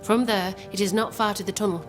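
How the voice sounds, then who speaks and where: An actress playing a composed, resolute plotter in a video game, speaking in formal, measured phrases. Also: female voice, mid-range voice, steady tone, lightly acted. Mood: serious, guarded. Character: composed, resolute woman plotting against a captain. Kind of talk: video game cutscene acting. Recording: prominent music underneath.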